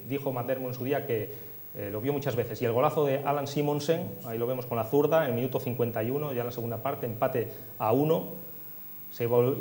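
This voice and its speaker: A man's voice: mid-range voice, darkish tone. A man with an accent from Spain presents a football retrospective as host, talking conversationally through results and match action. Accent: Spanish, from Spain. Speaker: host of a football history show. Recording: noisy recording with electrical hum.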